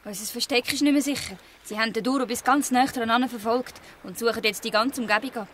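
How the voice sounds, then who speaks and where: A woman plays a bold, cheeky girl who leads a street gang, with a Swiss German accent. Her voice is high and bright, confident in the style of a radio play, with faint noise behind it. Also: fast, lightly acted. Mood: wary. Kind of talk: dialect radio play acting.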